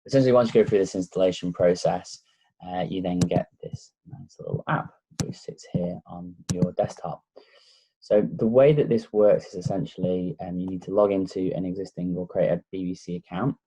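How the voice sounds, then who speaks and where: Low, flat-voiced Lancashire man. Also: normal speed, breathy edge, low-quality recording. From Blackpool, North West England. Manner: relaxed, hesitant with many fillers. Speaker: tech reviewer. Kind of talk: casual explanatory walkthrough. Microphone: laptop microphone.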